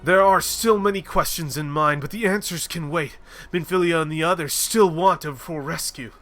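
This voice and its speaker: Grand old-fashioned engineer chief read by a man, formal, bright voice, music under. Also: a little high, moderate pace, close to an everyday voice. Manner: archaic, formal phrasing.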